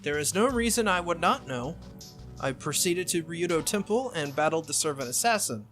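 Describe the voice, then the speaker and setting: A man's voice: fairly high pitch, animated, normal speed, a bit bright, faint music behind. A man voicing a stern, proud female knight spirit from a visual novel, speaking formally and insistently. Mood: firm, challenging.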